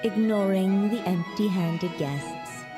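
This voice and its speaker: A woman acting as a gentle fairy-tale narrator in a game, telling the story in a measured, theatrical way. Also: medium pitch, music in the background, lightly acted. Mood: measured, inviting. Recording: long reverberant hall sound.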